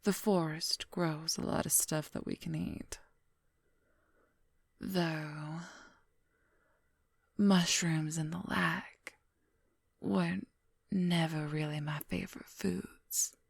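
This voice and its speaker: An affectionate female werewolf, acted out by a woman in an ASMR roleplay, whispering intimately and very close. The voice is slow and breathy, with gentle, playful teasing. The sound is dry and near. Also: low voice, steady tone, no room sound, full character voice. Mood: casual, informative. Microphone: close microphone, dry.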